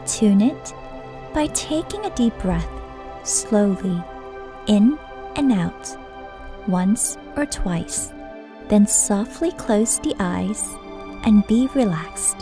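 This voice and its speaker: A woman reading a scripted introduction in a calm, measured, instructive way. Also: medium pitch. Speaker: narrator of program introduction. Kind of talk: scripted narration. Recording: clear new-age music bed under voice. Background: new-age music.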